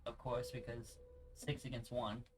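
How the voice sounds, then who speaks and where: A man's voice: medium pitch, flat delivery, a little slow. A man doing informal let's play commentary, chatting casually and sometimes stumbling as he reads game text aloud. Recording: music playing behind the voice.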